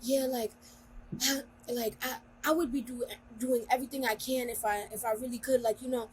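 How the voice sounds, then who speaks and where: A woman's voice: a little high, steady tone, moderate pace, low-quality recording. Blunt, slangy young woman. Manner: blunt, slangy, acts out quoted voices. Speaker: podcast co-host. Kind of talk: casual chat. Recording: virtual call, faint background noise.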